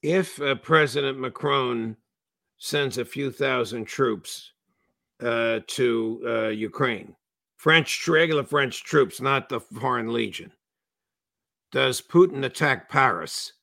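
A man hosting an interview, asking measured, halting questions. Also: medium pitch, vocal fry, pushed and bright, dry recording, studio quality. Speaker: show host.

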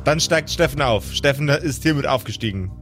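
A man's voice: mid-range voice, animated, normal speed, fairly bright tone. A man playing in an improvised tabletop audio show with casual banter. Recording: light music bed behind the voice.

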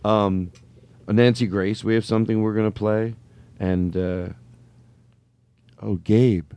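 A man's voice: on the low side, fairly even intonation, slow pace, mellow, clean tone, no echo. A man in his thirties hosting a comedy show, rambling and playful, stammering and laughing as he jokes. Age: 30s. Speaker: comedy podcast host. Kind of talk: improvised comedy banter.

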